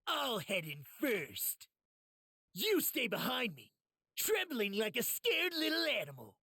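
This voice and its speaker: A gravelly, raspy voice that swings wildly in pitch, a hot-headed young brawler in an anime game. He is boastful, rough and mocking, recorded dry and close. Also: huge pitch swings, takes it slowly, sharp tone, no room sound, heavily acted. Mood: cocky, mocking. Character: brash, hot-headed, wild boastful young male fighter. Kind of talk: anime game cutscene acting.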